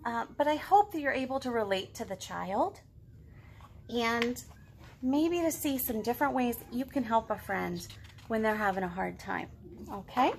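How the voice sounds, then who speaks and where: A woman's voice: a little high, animated, normal speed, creaky, a little hiss or hum. A woman in her thirties hosting a read-aloud storytime, talking expressively and directly to young children. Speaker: children's librarian, storytime host. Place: living room at home.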